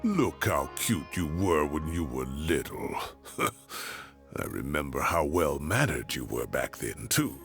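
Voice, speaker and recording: A man voicing an ageing, wry and sentimental professor with a drawn-out, self-deprecating manner in a video game. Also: rumbling low, very animated, a bit bright, soft background music, exaggerated voice. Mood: teasing, fond. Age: older. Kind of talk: animated video game voice acting.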